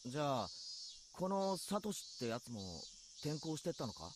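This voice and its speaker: A woman's voice: low-pitched, big pitch swings, quick pace, background noise. A woman voicing a brash, earnest teenage schoolboy in a Japanese anime visual novel. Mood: probing. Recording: game music behind the voice. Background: game music.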